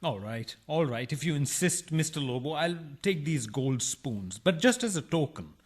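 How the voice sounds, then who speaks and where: Actor as high-voiced, put-upon burglar. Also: male voice, very animated, normal speed, husky. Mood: reluctant, polite. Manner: protesting, mock-humble, theatrical. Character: aggrieved married burglar, indignant yet oddly principled and polite. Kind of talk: farcical radio play acting.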